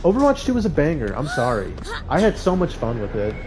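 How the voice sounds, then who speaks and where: A man's voice: on the high side, wildly swooping pitch, fairly quick, low-quality recording. Casual male game streamer over music. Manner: informal slangy banter, reacting aloud to gameplay. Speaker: video game livestreamer. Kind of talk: casual gaming stream chat with live reactions. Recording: music playing behind the voice.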